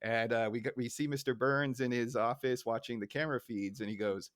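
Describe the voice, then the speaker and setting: A man's voice: medium pitch, steady tone, fairly quick, smooth, no echo, clean studio sound. A man co-hosting a podcast, chatting casually as he recaps a show. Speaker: podcast co-host. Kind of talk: casual episode recap chat.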